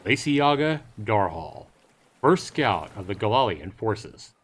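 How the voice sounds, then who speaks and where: Husky, low-voiced man reading a game aloud. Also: sing-song, slow. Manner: jokey, bantering asides between read passages. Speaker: streamer reading a visual novel aloud. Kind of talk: live game read-aloud with casual banter.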